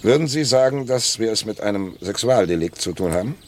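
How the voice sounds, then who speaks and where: An actor playing a brisk, authoritative public prosecutor in a radio crime drama, speaking in clipped, formal dictation. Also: male voice, on the low side, animated, a little mellow. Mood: brisk, commanding. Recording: noisy outdoor ambience with birds.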